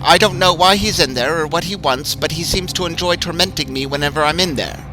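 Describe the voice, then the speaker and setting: A man's voice: a little high, brisk, background noise, voice pushed up, lightly acted. A man doing a jittery haunted house owner. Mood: worried. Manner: nervous, rattled, insistent. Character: frightened middle-aged male haunted house owner.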